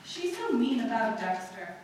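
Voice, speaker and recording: A woman's voice: on the high side, very animated, moderate pace, a little mellow. A woman acting an outspoken, gossipy teenage sister in a live old-time radio play. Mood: nosy, insistent. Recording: very echoey hall, distant mic, faint noise.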